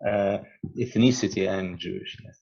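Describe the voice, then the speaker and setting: A man from Russia with a Russian accent, answering interview questions slowly and haltingly, often stopping to search for words. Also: deep voice, steady tone, creaky, darkish tone, highs cut off. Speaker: interview guest, poet and theologian.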